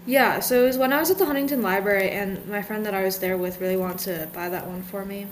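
A woman's voice: medium pitch, fast, a little hiss or hum, poor audio. A woman in her twenties co-hosting a podcast, chatting casually with many fillers and trailing sentences. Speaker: podcast co-host. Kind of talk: casual chat.

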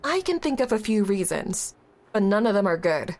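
A woman's voice: medium pitch, big pitch swings, moderate pace, darkish tone, close to an everyday voice. An actress playing a young woman in a grief support group, evasive at first and then blunt and exasperated. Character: young woman, guarded and impatient. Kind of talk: audio drama acting.

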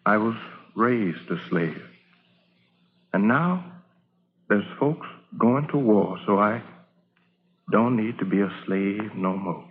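Low-voiced humble Southern man, old radio. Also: slow pace, pauses often, slightly rough, narrow, tinny audio. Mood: earnest, grateful. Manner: plain-spoken, earnest. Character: humble, grateful formerly enslaved man, a soldier's companion. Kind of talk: old-time radio drama acting. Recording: thin noisy vintage radio recording.

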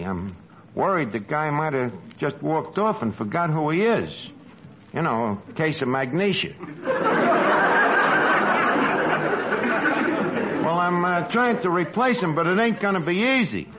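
Slangy New York tavern manager, high swooping voice, wisecracking old-radio comedy delivery. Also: male voice, big pitch swings, moderate pace. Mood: harried. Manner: slangy, malapropisms, hesitant asides. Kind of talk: 1940s radio comedy acting. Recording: muffled noisy old radio recording, live audience.

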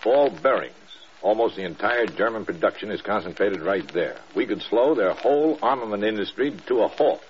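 An American man playing an authoritative, shrewd senior general in a mid-century radio drama, measured and emphatic. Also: lowish voice, lively intonation, lightly acted. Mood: urgent, grave. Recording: muffled vintage radio with faint noise.

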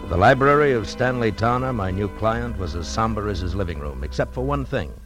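A very low-voiced, world-weary private eye acted by a man in a 1950s radio noir. He talks tough and clipped as he works his case, with faint music around the voice. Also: lively intonation, brisk, lightly acted. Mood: moody, measured. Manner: hard-boiled, clipped.

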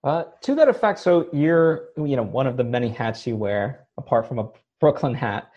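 Brief: male host, mellow, halting questioner, lo-fi muffled sound. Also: medium pitch, lively intonation. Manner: hesitant, halting questions with many fillers. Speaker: podcast host. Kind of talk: interview questions.

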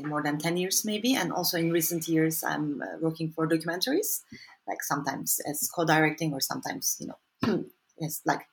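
A woman whose first language is Turkish, an author answering interview questions thoughtfully and pausing to search for words. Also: lowish voice. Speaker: author and anthropologist guest.

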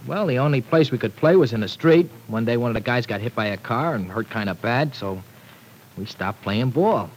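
An actor playing a tough, slangy young street kid. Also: male voice, mid-range voice, expressive, moderate pace, a little hiss or hum, close to an everyday voice, rough recording. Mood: bitter. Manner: slangy tough-guy talk, sarcastic and bitter. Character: tough streetwise young man from a city gang, sore and defensive. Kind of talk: 1940s radio acting.

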